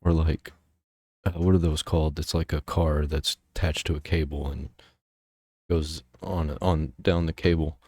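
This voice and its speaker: A man with a strikingly deep voice and a dark, mellow tone talks through a reaction video in an easygoing, rambling way. The sound is dry and close, with no room echo. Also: level tone, unhurried. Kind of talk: casual commentary over a video.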